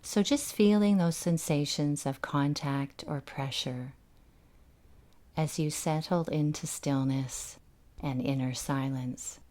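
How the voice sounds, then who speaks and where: Slow, low-voiced woman guiding meditation. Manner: gentle, unhurried, soothing instruction with long pauses. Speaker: meditation guide. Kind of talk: guided meditation.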